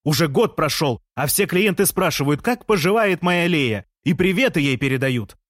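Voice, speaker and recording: A man voicing an aggrieved, divorced shopkeeper in an audiobook, complaining loudly about his ex-wife. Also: a little high, expressive, normal speed, no room sound, studio quality, voice pushed up.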